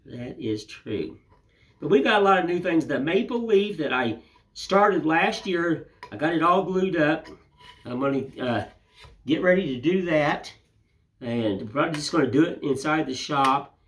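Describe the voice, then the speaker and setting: Older guy w/ a cold, raspy and hoarse, rambling folksy chat, kinda high voice. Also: expressive, normal speed, fairly bright tone. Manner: rambling, homespun, folksy filler phrases. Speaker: woodcarving channel host. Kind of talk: casual chat to camera.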